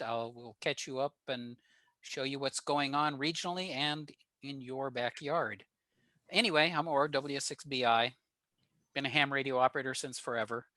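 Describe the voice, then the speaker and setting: A man's voice: mid-range voice, sharp tone, dry recording. An older man with an American accent gives an informal technical presentation over a video call, explaining things plainly. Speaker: guest presenter at a radio club.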